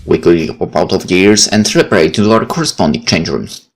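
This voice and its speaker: A man doing a let's play, reading visual novel text aloud in a casual, expressive way. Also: low-pitched, brisk, creaky, noisy background. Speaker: gaming YouTuber. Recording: game music behind the voice.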